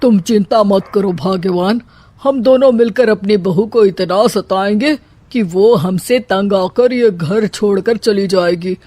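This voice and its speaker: Man playing a flustered, slow-drawling cowardly father-in-law. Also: high voice, a little mellow, no echo. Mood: scheming. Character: cowardly, scheming middle-aged father-in-law, comically flustered.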